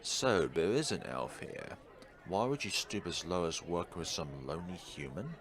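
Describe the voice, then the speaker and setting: A haughty male elf from a fantasy visual novel, acted out by a man reading along. He speaks in a deep voice, sneering and dismissive, as if everyone is beneath him. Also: lively intonation, moderate pace, a bit bright, some chatter behind.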